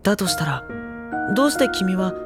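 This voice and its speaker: An actor playing an earnest, brooding teenage schoolboy in a Japanese audio drama, questioning and narrating with dramatic pauses. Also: male voice, high voice, big pitch swings, over loud music. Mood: pressing, troubled.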